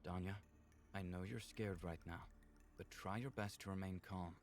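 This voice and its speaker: A man voicing a gentle, earnest alien man from a visual novel, measured and explanatory in manner. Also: steady tone. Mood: calm, reassuring. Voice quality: low, gravelly.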